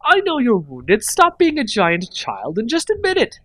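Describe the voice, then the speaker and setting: A man reading aloud and voicing a sharp-tongued, sarcastic woman necromancer in a raised voice. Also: very high voice, wildly swooping pitch, moderate pace, no echo, exaggerated voice. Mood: scornful. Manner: snappish, sarcastic.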